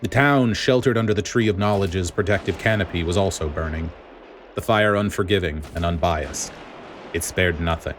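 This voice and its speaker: A man reading a story aloud as dramatic scripted narration, in a grave, deliberate manner. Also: low voice, noisy background. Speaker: podfic reader.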